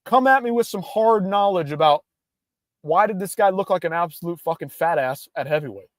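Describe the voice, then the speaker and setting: A man's voice: sits high, expressive, brisk, dry recording, clean studio sound. A young man hosting a casual livestream, ranting in a hyped-up, profane way. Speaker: livestream host.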